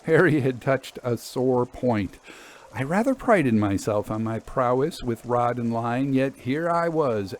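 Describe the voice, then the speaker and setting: Expressive male storyteller, faint background noise. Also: medium pitch. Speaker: host and story reader. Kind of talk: scripted storytelling narration.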